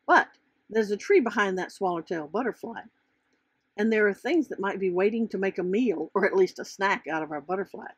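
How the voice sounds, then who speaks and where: A woman's voice: mid-range voice, lively intonation, brisk, husky, dry recording. A woman narrating a children's storybook as its author, reading from the script.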